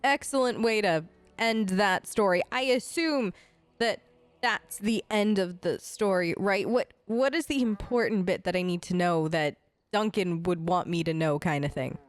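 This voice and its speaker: A woman's voice: mid-range voice, unhurried, dry recording, faint music behind. A woman improvising casual, breezy, lightly wry role-play dialogue for a game let's play. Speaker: gamer voicing her player character.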